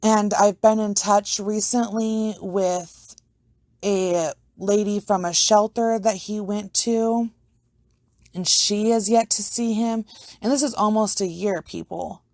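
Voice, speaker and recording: A woman's voice: medium pitch, fairly even intonation, slow, a little mellow, no echo, studio quality. A young woman hosting her own podcast, talking earnestly and a little ramblingly, with casual swearing. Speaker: podcast host. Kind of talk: casual personal talk to camera.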